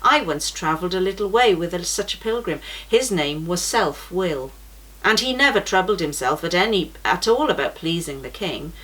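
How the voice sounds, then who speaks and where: A woman's voice: fairly low pitch, lively intonation, moderate pace, fairly bright tone, low-quality recording. A woman voicing a very old, kindly male pilgrim in a read-aloud storybook, speaking earnestly and reassuringly.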